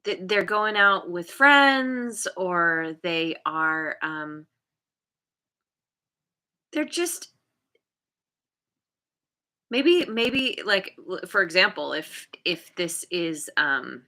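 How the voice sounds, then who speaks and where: A woman's voice: lowish voice, expressive, extremely slow, long pauses, bright, forceful tone. A woman giving a casual tarot reading, talking in a hesitant way with many fillers and restarts and laughing at herself now and then. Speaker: tarot reader.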